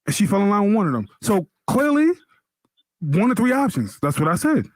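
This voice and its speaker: A man in his late thirties with a slightly higher voice argues his point in a heated group debate. He talks insistently and repeats himself when pushed back on. His voice sits close and dry on the mic, with no room sound. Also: very animated, fairly quick, no echo. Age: 30s. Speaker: podcast co-host.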